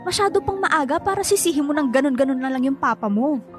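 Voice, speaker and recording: A woman's voice: high voice, lively intonation, fast talker, music in the background. A woman playing a supportive, level-headed young girlfriend in a Filipino radio drama, earnest and easily exasperated. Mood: defensive, reasoning. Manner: placating, earnest.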